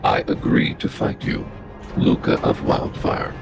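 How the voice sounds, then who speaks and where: An actor playing a huge, stern, logical robot boss in a video game, gravelly and speaking in clipped, formal, computer-like phrases. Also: male voice, measured pace, faint music behind, natural voice. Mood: impassive, formal.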